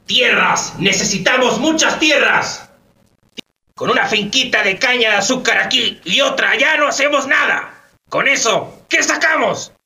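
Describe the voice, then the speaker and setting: Piercing, greedy sugar baron. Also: male voice, extremely high pitch, animated, moderate pace, sharp tone, full character voice. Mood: demanding. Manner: insistent, commanding, exclaiming. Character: greedy, domineering male colonial sugar plantation magnate. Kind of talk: radio theatre acting. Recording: distant from the microphone.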